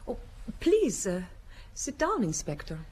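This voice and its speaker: A woman's voice: fairly high pitch, wildly swooping pitch, a little mellow, slight background noise. A hesitant, enigmatic lady suspect. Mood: languid, poised. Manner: hesitant, formal phrasing with deliberate pauses. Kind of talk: audio drama dialogue.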